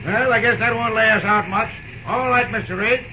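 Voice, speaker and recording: A man's voice: high voice, fairly quick, soft background music. An actor playing a matter-of-fact railroad trainman in an old-time radio drama, casual and clipped. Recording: muffled old radio recording.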